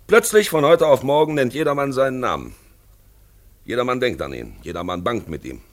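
A man's voice: mid-range voice, very animated, a little fast. A man playing an eloquent narrator in a 1950s German radio play, addressing the listener directly in a dramatic, rhetorical way. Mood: dramatic, reflective. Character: eloquent male narrator guiding the listener through a drab town.

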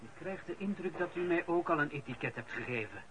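An affronted, defensive official in a radio drama. His voice is high and very animated, protesting haughtily. There is faint noise on the recording. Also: fast, poor audio. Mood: indignant. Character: indignant, defensive civil servant suspected of murder. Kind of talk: radio play acting.

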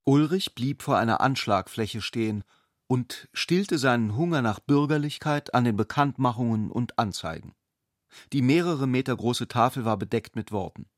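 A man's voice: mid-range voice, no room sound, studio quality. A man reading scripted literary narration for a radio play.

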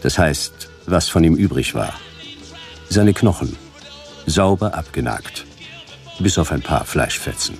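A world-weary, hard-boiled detective with a very deep, gravelly voice. He is terse, wry and deadpan, narrating a sci-fi radio play over clear background music. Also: unhurried, long pauses, exaggerated voice. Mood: tense, terse narrating. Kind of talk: radio drama narration and dialogue.

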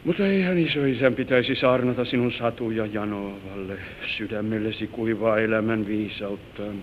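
A gentle old grandfather played by a man reminisces tenderly and solemnly, now and then drifting into earnest moral advice. He speaks as in a vintage radio drama, through a thin, phone-like recording with light hiss. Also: lowish voice, natural voice. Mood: reminiscing. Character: gentle old grandfather telling his life story to granddaughter. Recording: tinny, noisy old radio broadcast recording.

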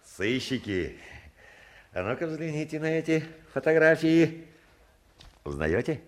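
A man playing a good-natured police lieutenant in a 1960s Soviet radio play, informal, coaxing and gently ironic. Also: a little high, big pitch swings, a little slow. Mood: approving.

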